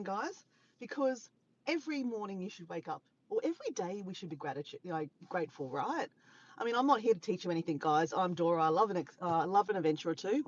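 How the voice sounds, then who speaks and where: A woman's voice: fairly low pitch, highs cut off, a little hiss or hum. An Australian woman live-streaming in casual chat, rambling and laughing as she reads out viewers' comments. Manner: chatty, rambling, laughs often, greets viewers.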